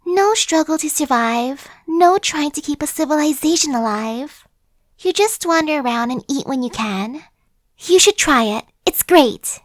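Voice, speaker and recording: A woman's voice: high voice, animated, measured pace, dry recording. A woman voicing a chatty, friendly female zombie who coaxes and persuades in a casual, sly way, in an ASMR roleplay. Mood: persuasive.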